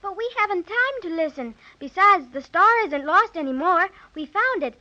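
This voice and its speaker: A woman's voice: very high voice, lively intonation, normal speed, bright, forceful tone, exaggerated voice. A woman playing an earnest little girl in a 1930s children's radio serial. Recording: dull 1930s radio recording.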